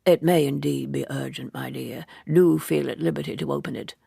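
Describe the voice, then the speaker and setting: A considerate older gentleman of letters, acted out by a woman narrator. His voice is low and gravelly, with formal, gentle courtesy suited to a period novel. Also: steady tone, moderate pace, no echo, studio quality, exaggerated voice. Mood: kindly, formal.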